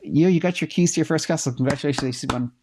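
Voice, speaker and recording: Hoarse man thinking aloud on a livestream. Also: fairly high pitch, racing, a little mellow. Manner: thinks aloud with fillers, checking data live. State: losing his voice. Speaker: host answering viewer questions. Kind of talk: casual livestream talk.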